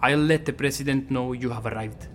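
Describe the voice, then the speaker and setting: A man's voice: medium pitch, brisk, close to an everyday voice. An actor playing a curt, officious revolutionary henchman in an audio drama, barking and self-important. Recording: noisy scene ambience.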